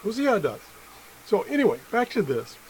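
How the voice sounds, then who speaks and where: A man's voice: high voice, huge pitch swings. Middle-aged man thinking aloud, noisy room. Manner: halting, thinking aloud, self-correcting. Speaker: amateur product tester and video host. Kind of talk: unscripted hands-on demonstration. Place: indoor room at a workbench. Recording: noisy room with background sound.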